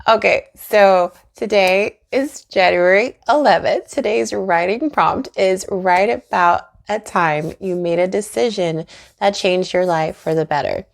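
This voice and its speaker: A woman's voice: mid-range voice, measured pace, vocal fry. A woman vlogging to camera about her faith, speaking earnestly and encouragingly, with frequent small laughs. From Trinidad and Tobago. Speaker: YouTuber and author. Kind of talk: faith-based vlog talk to camera.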